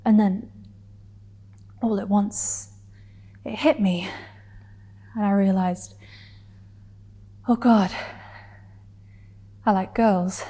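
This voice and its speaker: A wounded, defiant young woman, played by a woman, speaks in a dramatic stage monologue. Her voice is mid-pitched and very slow, with deliberate pauses and emphatic articulation on key words. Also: steady tone, dark tone, slightly rough. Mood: tender recollection, then pained confession.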